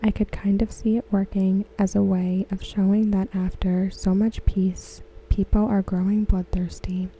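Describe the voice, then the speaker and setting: A woman reading aloud softly for ASMR, gentle and hushed, with a breathy voice that often slips into a whisper. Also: medium pitch, flat delivery, moderate pace, hardly any pauses, a little hiss or hum, rough recording. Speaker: ASMR host. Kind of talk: ASMR, soft-spoken reading of discussion comments.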